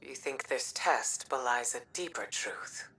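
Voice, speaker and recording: A woman voicing a solemn, steady commander in a video game, calm and deliberate, with a breathy, husky voice. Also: extremely low pitch, heavily acted. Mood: hushed, concerned. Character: solemn, steady female commander offering reassurance.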